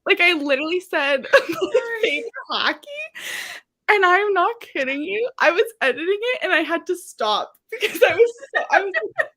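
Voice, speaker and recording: Lively high Canadian woman. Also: moderate pace, no room sound. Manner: animated storyteller. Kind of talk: casual chat.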